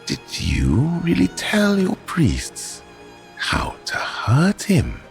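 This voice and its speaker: An actor playing a wry, amused, languid god in a fantasy audio drama, with a creaky drawl. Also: male voice, fairly high pitch, huge pitch swings, drawn-out words, soft background music, exaggerated voice. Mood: sly amusement.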